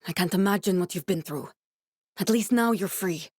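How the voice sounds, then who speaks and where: A woman's voice: fairly low pitch, moderate pace, a little mellow, husky, no echo. Earnest woman scientist, very dry recording. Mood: calm, instructive. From born in Norway, raised in Glasgow (character). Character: earnest, guarded woman scientist guiding a survivor ally. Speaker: video game character voice. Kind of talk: naturalistic video game dialogue acting.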